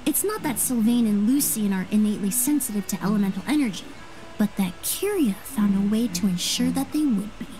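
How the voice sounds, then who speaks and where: An actress voicing a composed young woman sleuth in a game, explaining things in a measured, precise way. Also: medium pitch, lively intonation, natural voice, poor audio. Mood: composed, explanatory. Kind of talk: scripted game dialogue. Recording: music behind the voice.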